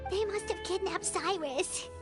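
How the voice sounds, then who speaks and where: Very high-pitched, excitable little fairy. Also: female voice, measured pace. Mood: nervous, curious. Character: small excitable childlike fairy companion, curious and easily spooked. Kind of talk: video game voice acting. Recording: game dialogue over loud music.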